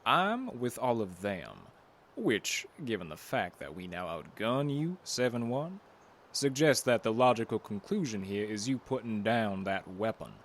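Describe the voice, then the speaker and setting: A smug commander of an armed squad in an audio drama. The voice sits low, and he gives orders with wry, wordy courtesy. Background noise runs under him. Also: big pitch swings, a little slow. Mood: wry, in control. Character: smug, unhurried male leader of an armed squad.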